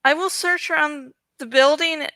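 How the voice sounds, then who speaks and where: A woman's voice: sits high, fairly even intonation, normal speed, pushed and bright, no echo, clean studio sound. A woman voicing a fierce, loyal female dragon from a fantasy storybook, grave and terse. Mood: resolute.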